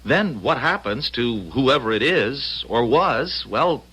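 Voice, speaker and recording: A man's voice: medium pitch, big pitch swings, slow pace, bright, forceful tone, slightly rough, exaggerated voice, rough recording. An actor playing an eager, breezy young American man in an old-time radio drama, earnest and coaxing.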